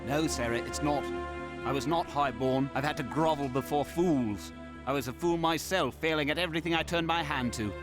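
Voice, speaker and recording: A proud, earnest revolutionary writer, played by a man, with a slightly raised male voice. He declaims with principle in a cartoon, over loud music. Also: on the high side, normal speed, close to an everyday voice. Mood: proud, defiant.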